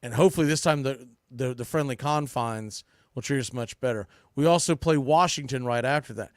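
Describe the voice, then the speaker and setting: A man's voice: medium pitch, brisk, dry recording, studio quality. A man hosting a sports podcast, giving casual, opinionated commentary in an informal, emphatic way.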